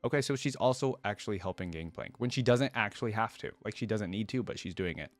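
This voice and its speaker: A man with a fairly low voice and a close, dry sound hosts a reaction video. He reads fantasy prose aloud and drops in informal, slangy asides of his own. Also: fast talker, no echo. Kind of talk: reading a fantasy story aloud with casual commentary.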